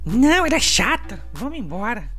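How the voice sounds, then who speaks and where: A woman voicing a cocky schoolkid who bosses and teases the others. Her voice sits high, with faint music playing behind her. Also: very animated, fairly quick, fairly bright tone. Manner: bossy, teasing. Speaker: player. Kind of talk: in-character roleplay as a cocky schoolkid. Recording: music under the voice.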